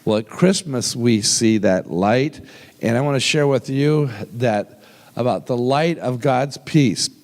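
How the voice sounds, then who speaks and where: An older male pastor preaching with a cold that leaves his voice deep and rough. He talks in a folksy, joking way and slows right down for scripture. His voice rings out through a large, echoing church hall. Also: darkish tone. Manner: folksy, humorous, slows down when reading scripture. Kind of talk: sermon. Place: church sanctuary with long reverb. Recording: reverberant hall sound.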